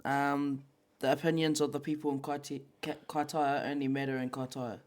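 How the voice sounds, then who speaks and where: A man's voice: mid-range voice, flat delivery, normal speed. A man with a New Zealand accent co-hosting a casual podcast, chatting informally in slang and reading out viewer comments. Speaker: podcast co-host.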